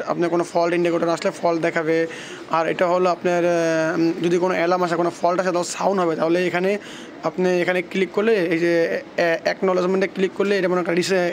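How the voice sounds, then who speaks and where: A man gives a halting hands-on technical walkthrough with frequent false starts, as a video presenter. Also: high-pitched, slight background noise.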